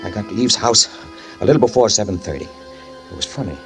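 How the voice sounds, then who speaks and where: An actor playing a guilt-ridden man who fled a fatal crash, narrating in the first person in a 1940s radio drama with tense, halting speech that stammers and repeats. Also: fairly low pitch, expressive. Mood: shaken. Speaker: narrator and lead character. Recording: old radio recording with music behind.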